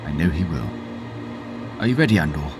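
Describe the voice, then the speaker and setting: An actor playing a steady, protective military captain, measured and reassuring, in a science fiction audio drama. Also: male voice, extremely low pitch. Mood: gentle. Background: music.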